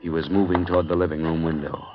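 An actor playing a hard-boiled, wry insurance investigator narrating a 1950s radio drama in a clipped manner. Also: male voice, a bass voice, little pitch movement, fast. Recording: dull old radio recording with music.

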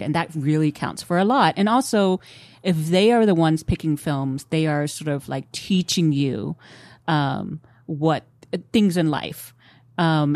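A woman co-hosting a podcast, chatting about a film and her family in a lively, conversational way. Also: low voice, no echo. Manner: chatty, animated when excited. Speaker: podcast co-host. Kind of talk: casual film discussion.

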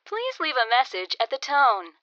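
A woman's voice: sits high, sing-song, normal speed, bright, forceful tone, exaggerated voice. A woman playing an automated voicemail greeting as a recorded phone announcement. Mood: neutral, automated. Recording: muffled telephone playback.

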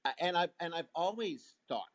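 A man in his fifties, a talk show host, speaking in an animated storytelling way in a casual conversation. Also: on the high side, normal speed. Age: 50s.

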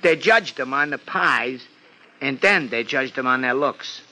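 A man's voice: medium pitch, animated, a little slow, bright, forceful tone, exaggerated voice, low-quality recording. An actor playing an anxious, streetwise city florist in a 1950s radio detective drama, talking in slangy, ungrammatical tough-guy style. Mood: worried, proud.

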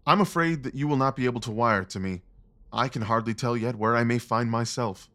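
A man acting a restless, commanding gentleman detective in an audio drama, speaking formally and with intense emphasis. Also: mid-range voice, brisk, close to an everyday voice. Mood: resolute, brisk.

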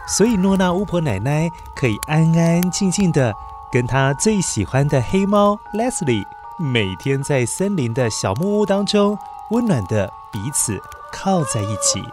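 抑扬顿挫的男性故事讲述者. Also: male voice, on the high side, very animated, unhurried. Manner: gentle, coaxing, exclamatory storyteller. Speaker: storyteller. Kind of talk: children's story narration. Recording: background music under narration.

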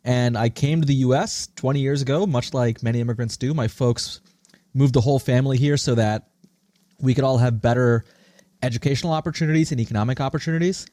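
A man's voice: mid-range voice, fast, warm tone, no echo, studio quality. A man in his thirties with an American accent, answering interview questions as a podcast guest in an earnest, emphatic, articulate way. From born in India, raised in Singapore. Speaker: podcast guest, startup CEO.

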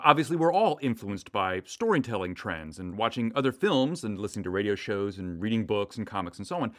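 A man's voice: mid-range voice, a bit bright. A man co-hosting a conversational podcast, articulate and wry. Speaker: podcast co-host.

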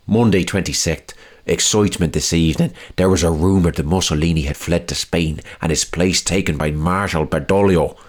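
A man with a British accent playing a measured, observant wartime army corporal, reading diary entries aloud. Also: sits low. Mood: measured, curious.

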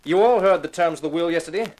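A cold, unyielding heir played by a male actor lays down orders in a high-pitched voice. His delivery is clipped and emphatic, in the manner of 1950s radio mystery drama. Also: quick pace, a little mellow, natural voice. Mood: cold, resolute. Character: curt, unyielding male heir, coldly self-interested.

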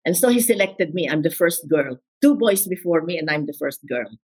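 A woman's voice: sits low, lively intonation, brisk, darkish tone, breathy edge. An older woman with a Filipino accent, telling her life story as an interview guest in an emphatic, repeating way. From Philippines. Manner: emphatic, repeats words, quotes others.

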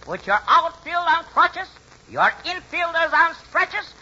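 A man's voice: very high voice, very animated, unhurried, sharp tone, muffled recording, heavily acted. A man playing a brash, cackling fifty-four-year-old midget who heckles in a 1940s radio comedy. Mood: mocking.

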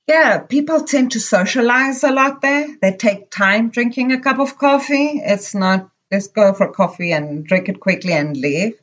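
A middle-aged woman from Zimbabwe, a podcast guest recalling her life abroad in an unhurried, meandering way with many pauses and fillers. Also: on the high side, lo-fi sound. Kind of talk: casual interview conversation.

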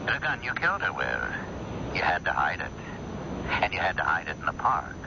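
An actor playing a gruff, blunt, needling police inspector in a radio mystery drama. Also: male voice, sits low, sing-song, moderate pace, heavily acted. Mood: pressing. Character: gruff, persistent male police inspector on a murder case. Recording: dull, noisy vintage radio broadcast recording.

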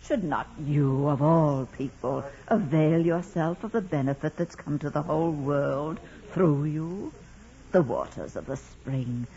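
A woman's voice: sits low, measured pace, dark tone. An actress playing a dignified, concerned Mother Superior, formal and urging, in a 1940s radio drama. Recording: muffled, noisy vintage radio recording.

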